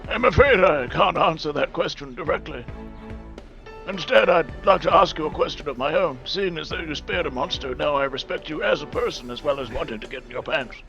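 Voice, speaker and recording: A man playing a pompous, suave gentleman chef in a comic dating game, courting in a theatrical, courtly way. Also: a little high, expressive, a little fast, creaky, muffled recording, faint music behind, exaggerated voice. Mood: earnest, romantic. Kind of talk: comic dating-sim voice acting.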